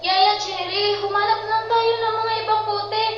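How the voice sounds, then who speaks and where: A woman's voice: very high-pitched, flat delivery, unhurried. A woman playing a stubborn, impulsive young girl with a petulant delivery in an amateur radio drama. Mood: pleading, anxious. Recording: very echoey, distant, dull, faintly noisy.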